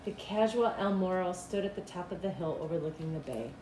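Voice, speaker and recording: A middle-aged woman reading a children's storybook aloud in an expressive, deliberate storytelling manner. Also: lowish voice. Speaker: school principal reading aloud. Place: small cinderblock school room, indoors. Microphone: camera a couple of meters away. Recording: echoey room with clear background noise.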